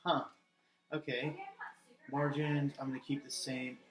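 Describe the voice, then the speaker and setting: A male gaming video host with a medium-pitched voice. He commentates casually and rambles along, muttering bits to himself as he goes. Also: flat delivery, a little slow.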